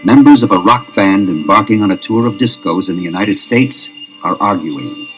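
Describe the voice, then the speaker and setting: A male narrator gives measured, scripted narration for an old record-album drama. His voice is low and even. Clear music plays behind him. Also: low-quality recording.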